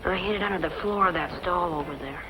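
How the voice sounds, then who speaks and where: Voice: mid-range voice, quick pace, close to an everyday voice. An actor playing an earnest, guilt-ridden young ranch boy in an old-time western drama, on a dull, noisy recording. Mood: guilty, guarded.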